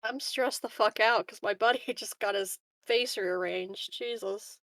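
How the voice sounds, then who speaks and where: A woman's voice: mid-range voice, measured pace, fairly bright tone, no echo. A woman playing a tabletop game, chatting casually. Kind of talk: casual chat.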